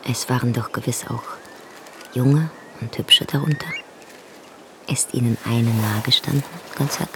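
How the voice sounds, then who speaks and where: An actor as an intimate companion in a radio play, mid-pitched and hushed. The questions are probing, with clear ambient noise behind. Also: male voice, unhurried, lightly acted. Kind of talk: radio drama acting.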